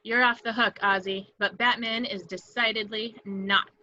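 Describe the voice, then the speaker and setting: A woman's voice: medium pitch, steady tone, moderate pace, bright, forceful tone, highs cut off, close to an everyday voice. A woman playing a sly, vengeful villainess with a seductive, dry manner, in an amateur film script read. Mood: vengeful, sultry. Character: sultry, vengeful, sly female cat-themed villainess.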